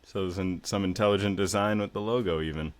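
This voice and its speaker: Low-voiced male podcast host, loose and jokey, swears casually, deep register. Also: steady tone, fast talker. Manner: laid-back, joking, with casual swearing.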